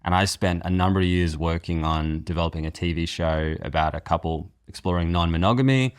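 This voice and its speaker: A man with a low-pitched voice chats as an interview guest on a podcast. He is informal and works through his thoughts aloud, like a writer explaining his process. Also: brisk, smooth. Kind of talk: casual interview chat.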